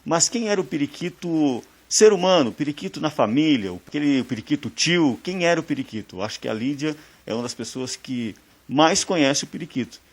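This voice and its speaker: Um apresentador de sotaque do sul do Brasil, que conduz a entrevista com perguntas pausadas. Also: male voice, on the high side, animated. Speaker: host. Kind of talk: documentary interview.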